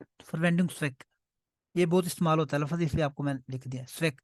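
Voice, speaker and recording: A man's voice: on the high side, steady tone, moderate pace, dry recording. A man whose first language is Punjabi, teaching German to beginners in a patient way and checking often that the class follows. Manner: patient, repeats "ठीक है" often. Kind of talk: online class teaching in Hindi-Urdu.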